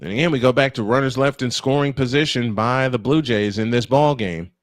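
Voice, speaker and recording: A man's voice: medium pitch, fairly quick, no echo, studio quality. An American man doing live baseball play-by-play mixed with casual banter. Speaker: play-by-play commentator.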